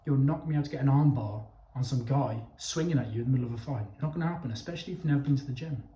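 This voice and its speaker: Young adult man, mellow tone, roomy echo, casual vlog monologue, blunt and emphatic, swears freely. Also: mid-range voice, rapid-fire. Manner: addresses listeners directly, with repeated "okay?" checks. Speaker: vlogger giving his opinion. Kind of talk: casual opinion monologue to camera. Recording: echoey, roomy sound.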